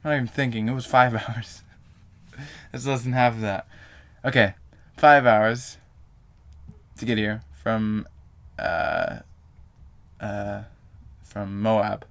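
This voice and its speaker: Rambling young guy with a low voice. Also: fairly even intonation, takes it slowly, fairly bright tone. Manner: rambling, self-correcting, lots of fillers. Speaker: vlogger. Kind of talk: casual rambling vlog.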